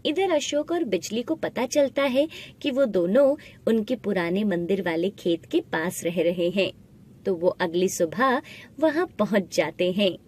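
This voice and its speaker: A woman narrating a scripted animated story, with music playing behind her voice. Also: medium pitch, a little slow. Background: background music.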